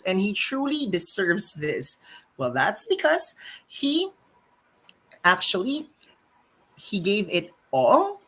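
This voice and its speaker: A woman's voice: lowish voice, slightly rough. A woman hosting a live exam-prep stream, reading viewer comments and answering them in an emphatic, teacherly way. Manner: animated, emphatic teacherly delivery.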